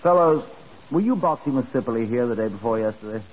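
An actor playing a sober Scotland Yard chief inspector, narrating a 1950s radio drama in a measured, grave, dramatic manner. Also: male voice, mid-range voice, expressive, natural voice. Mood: firm. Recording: muffled, hissy 1950s radio recording.

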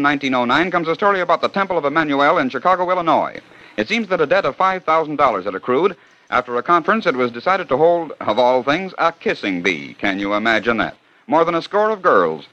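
A man narrating a scripted radio program with brisk, wry storytelling. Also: a little high, sing-song, bright, forceful tone. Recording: dull 1940 radio recording.

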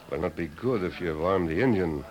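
An actor playing a sly, polite Mexican drifter with a Mexican accent and formal phrasing in a radio western. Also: male voice, low-pitched, animated, fast, darkish tone. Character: smooth-talking male Mexican drifter, a hero in disguise. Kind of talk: 1950s radio western acting. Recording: faintly noisy 1950s radio recording.

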